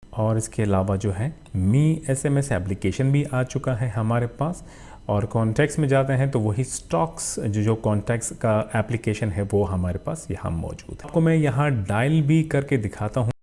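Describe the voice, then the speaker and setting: A man with a Pakistani accent hosts a casual phone review. His voice is soft-edged and mellow, sitting at an ordinary male pitch. He leans on filler phrases and drops English tech terms into his explanations. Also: moderate pace. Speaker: tech reviewer and channel host.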